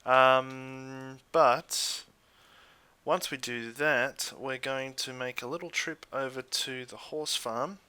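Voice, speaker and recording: An Australian man giving casual, chatty let's play commentary in an easygoing way, thinking out loud as he goes. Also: mid-range voice, fairly even intonation, takes it slowly, clear, resonant tone. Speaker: gaming YouTuber.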